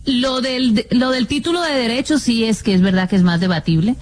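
A woman's voice: on the high side, fairly quick, a little mellow. A woman co-hosting a radio show, chatting playfully and slipping in English phrases. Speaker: radio co-host. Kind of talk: casual radio chat.

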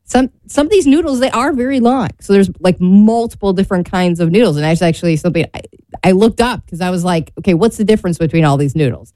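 A woman's voice: mid-range voice, lively intonation, fast talker, mellow, dry recording. A woman with an American accent co-hosting a talk show in casual, playful, informal chat.

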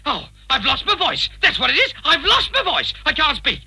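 A stammering, blustering schoolmaster. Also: male voice, very high voice, wildly swooping pitch, moderate pace, creaky, pushed and bright, exaggerated voice. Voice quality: stammering, exasperated. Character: flustered stuttering schoolmaster, stern and pompous. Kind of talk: vintage radio comedy acting.

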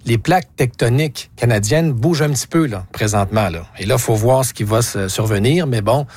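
Male interviewer, husky voice, direct probing questions. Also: medium pitch, fairly quick, darkish tone.

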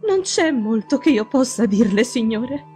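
A woman's voice: on the high side, animated, unhurried, mellow, faint music behind, pitched above the performer's own voice. A woman playing a frantic old housekeeper. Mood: anxious pleading. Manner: urgent, pleading, breathless with worry. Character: anxious, frightened older woman housekeeper.

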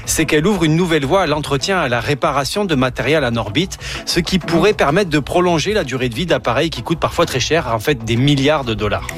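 A man explaining a science story on radio in a steady manner. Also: fairly high pitch, fast, soft background music. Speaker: science columnist.

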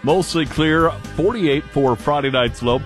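A man's voice: fairly high pitch, measured pace. A man giving a casual, conversational radio weather forecast. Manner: easygoing, conversational. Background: music bed.

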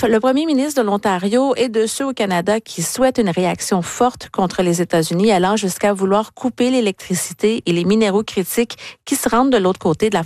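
A woman's voice: medium pitch, darkish tone, no echo. A woman delivering a scripted radio news report.

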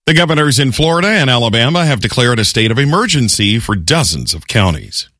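Lively male radio news anchor. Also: medium pitch, no room sound. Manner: measured, lively newsreader delivery. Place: radio studio. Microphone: studio microphone. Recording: dry close studio sound.

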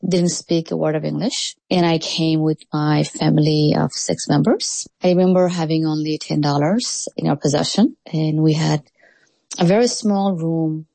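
Low gravelly woman telling her story. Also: fairly even intonation, normal speed, mellow, no room sound, poor audio. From Bangladesh. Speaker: interviewee. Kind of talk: personal story.

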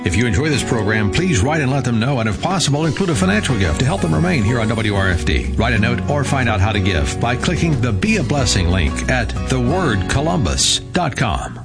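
A man reads scripted station announcements with very animated intonation. Loud music runs underneath his voice. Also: mid-range voice, brisk, few pauses.

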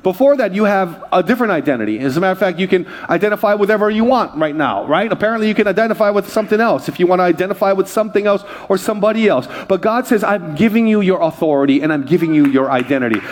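Male pastor, passionate preacher who works the room, pitched a bit high. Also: very fast, few pauses. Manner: energetic preaching with crowd call-outs. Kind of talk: sermon.

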